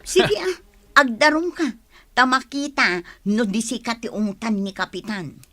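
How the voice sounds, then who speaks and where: A woman playing an outspoken, wronged village wife in a radio drama, with an expressive, indignant manner. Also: on the high side, a little slow, full character voice. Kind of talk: Ilocano radio drama acting.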